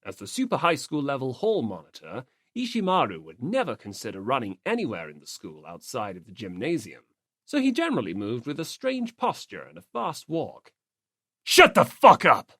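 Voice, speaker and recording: A man reading a story aloud as an audiobook narrator, in expressive scripted narration. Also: mid-range voice, brisk, dry recording, studio quality.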